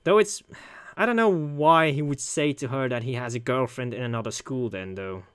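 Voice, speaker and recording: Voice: medium pitch, moderate pace, background noise. A person doing casual gameplay commentary, musing aloud in hesitant asides. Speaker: video game player reading and commenting.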